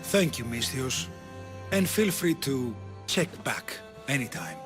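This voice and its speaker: A man's voice: mid-range voice, big pitch swings, slow, music in the background. An actor playing a caring, flirtatious male caretaker in a video game cutscene.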